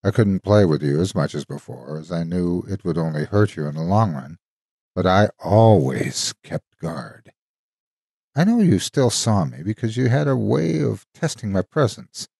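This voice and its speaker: A man narrating a dramatic story as a devoted, wistful ghostly guardian, an old soldier reminiscing tenderly in a husky, folksy way. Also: low-pitched, animated, normal speed, mellow, no echo, studio quality, heavily acted. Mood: fond reminiscing. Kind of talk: dramatic first-person horror storytime narration.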